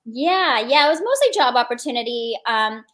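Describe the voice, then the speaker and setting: A woman's voice: fairly high pitch, sing-song, moderate pace, a bit bright. A woman with an American accent, speaking as an interview guest and casually telling personal stories. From born in India, grew up in the United States.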